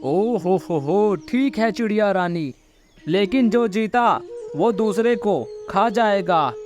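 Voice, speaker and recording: A man plays a sly vulture from a kids' cartoon tale. He talks slowly in a high male voice with ingratiating politeness, over clearly audible music. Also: animated, darkish tone. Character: male vulture, flattering, wily, brotherly traveller. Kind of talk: children's moral story cartoon dialogue.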